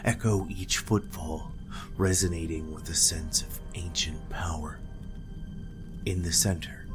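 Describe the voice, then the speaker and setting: Male game master, very low voice, slow pacing, scripted horror narration, ornate dramatic delivery. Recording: music behind the voice.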